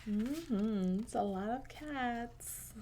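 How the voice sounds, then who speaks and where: Slow-paced woman reading a storybook aloud. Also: fairly high pitch, animated, a little hiss or hum. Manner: gentle, unhurried, pausing between short phrases. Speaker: storyteller reading a picture book aloud to children. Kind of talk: read-aloud narration with casual asides on the pictures.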